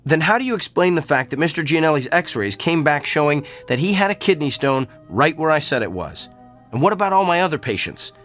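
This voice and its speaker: Earnest male physician with an uncanny gift, fairly high voice, radio drama, music beneath. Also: lively intonation, brisk, fairly bright tone. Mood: insistent.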